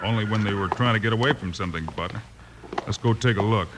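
An actor playing a calm, watchful, laconic lawman hero in a 1950s western radio drama. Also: on the low side, fast talker, lightly acted. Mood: grave. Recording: noisy old western radio recording.

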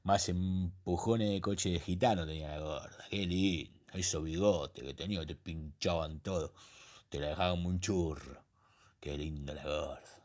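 Actor as a deep-voiced nostalgic Argentine buddy. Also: male voice, lightly acted. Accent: Argentine, Rioplatense. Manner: repeats himself, effusive. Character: crude nostalgic middle-aged man, jovial old school buddy. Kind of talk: comic radio play voice messages.

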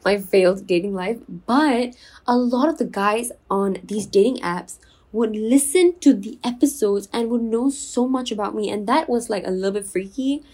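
A young woman from Singapore hosting her own podcast in a chatty, confiding way, enunciating clearly with a soothing voice. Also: fairly high pitch, moderate pace, darkish tone. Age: 20s. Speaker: podcast host. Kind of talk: casual solo podcast chat.

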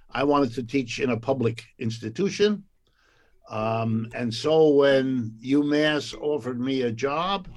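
An older American economics professor telling his life story in an unhurried way, with frequent pauses and fillers. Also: mid-range voice. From United States. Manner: unhurried, many "uh" and "um" fillers, occasional laughs. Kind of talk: reflective personal storytelling.

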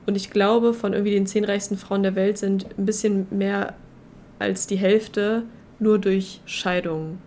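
A woman's voice: mid-range voice, fairly even intonation, a little slow, a little mellow, slight background noise. A young woman co-hosting a podcast in casual chat, with a joking, ironic manner. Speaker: podcast co-host.

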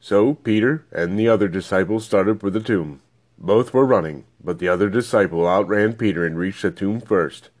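A man's voice: lowish voice, normal speed. A man reading scripture aloud as scripted narration. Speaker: Bible reader.

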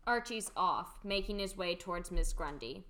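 A woman narrates a radio play, reading out the scene settings and stage directions. Her voice is a little low. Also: animated, normal speed, pushed and bright.

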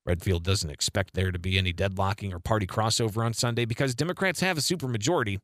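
A man reading news stories as the anchor, in a measured scripted delivery. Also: on the low side, fairly bright tone, no room sound, clean studio sound.

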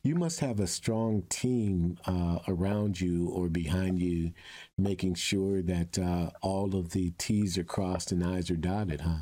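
A man interviewing a guest conversationally, speaking in an encouraging, deliberate way. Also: low-pitched, fairly even intonation, dark tone.

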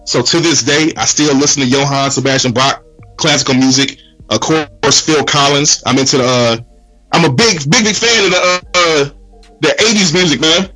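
A man's voice: a little high, moderate pace, bright, forceful tone, low-quality recording. A man guesting on a casual podcast, a laid-back storyteller who keeps saying "real talk" and "man". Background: background music track.

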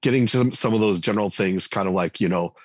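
A man, a game writer and designer, chatting casually as an interview guest, relaxed and full of fillers and restarts. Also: on the low side, fast talker, dry recording. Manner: relaxed, thinks aloud with many fillers and restarts.

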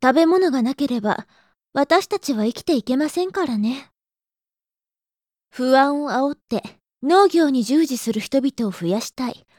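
Audio drama little sister, acted by a woman: high-pitched, formal and exasperated, a lecturing know-it-all, recorded close and dry. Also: lively intonation, unhurried, a little mellow, dry recording. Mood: lecturing, skeptical. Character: prim, scolding know-it-all little sister of hapless brother. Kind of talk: Japanese audio drama acting.

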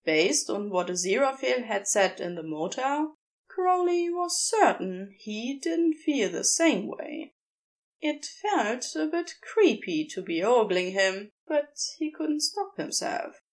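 Female narrator for audio fiction: higher-pitched, expressive, slow and measured. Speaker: narrator and reader. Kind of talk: scripted fan fiction narration.

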